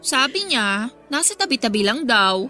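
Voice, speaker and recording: A woman's voice: fairly high pitch, big pitch swings, moderate pace. An actress playing a coy, placating teenage Filipino schoolgirl, hesitant and pleading, in a radio drama.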